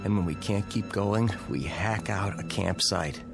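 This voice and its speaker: A man's voice: on the low side, unhurried, creaky, music in the background. An actor playing a breezy, wisecracking, stubbornly reassuring everyman in a radio drama, with a wry, confident, casual delivery. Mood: grimly determined.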